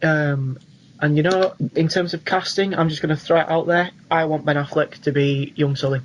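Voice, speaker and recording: A man's voice: on the high side, steady tone, normal speed, a little hiss or hum, poor audio. A young British man co-hosting a podcast, talking informally and agreeably in casual chat. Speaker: podcast co-host. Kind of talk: casual film and game chat.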